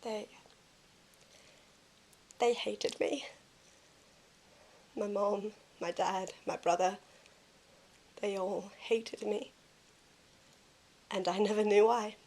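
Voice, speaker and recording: A woman's voice: mid-range voice, steady tone, very slow, pauses often, darkish tone. Woman acting a wounded, earnest young woman. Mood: hurt. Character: wounded, emotional young woman rejected by her family. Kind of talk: audio drama acting.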